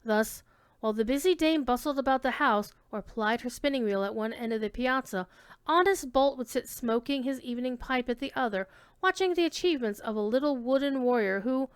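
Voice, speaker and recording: Lady doing a dramatic story reading, smooth clear voice, dry close sound, leans into key words. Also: a little high, no echo. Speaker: reader giving a dramatic reading of a classic tale. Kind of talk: dramatic literary narration, reading aloud.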